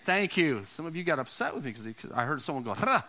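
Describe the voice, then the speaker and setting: A man's voice: on the high side, very animated, fast talker, bright, forceful tone. A man preaching a conversational sermon as a pastor, repeating phrases for emphasis and putting questions to his listeners.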